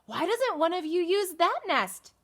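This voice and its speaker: A woman's voice: very high voice, sing-song, unhurried, a bit bright, voice pushed up, full character voice. A woman voicing a hungry, frantic young farm dog in a picture book reading. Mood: exasperated.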